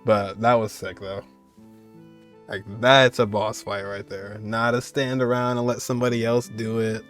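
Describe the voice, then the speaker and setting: A man's voice: fairly low pitch, flat delivery, moderate pace, a bit bright, soft background music. A man giving casual, slangy commentary as a gaming streamer, reacting freely as he plays.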